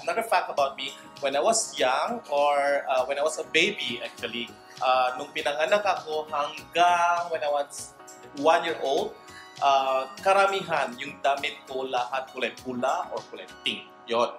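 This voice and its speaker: A man's voice: fairly high pitch, normal speed, fairly bright tone, reverberant, soft background music. A man in his thirties from Indonesia, chatting playfully to camera as a vlogger. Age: 30s. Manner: chatty, playful, mixes languages. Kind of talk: casual chat to camera. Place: indoor room with wood-panelled wall.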